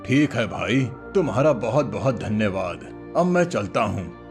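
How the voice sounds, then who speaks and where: A man's voice: on the low side, sing-song, a little slow, over music. A man voicing an honest village cowherd in a cartoon folk tale, speaking politely and earnestly. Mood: polite, curious.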